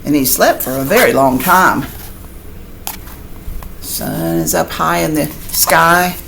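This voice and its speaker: A woman's voice: low-pitched, slow pace, vocal fry, fairly bright tone, slight background noise. A woman reading a picture book aloud like a teacher, expressively, with chatty asides and questions to young listeners. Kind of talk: animated children's read-aloud with chatty asides.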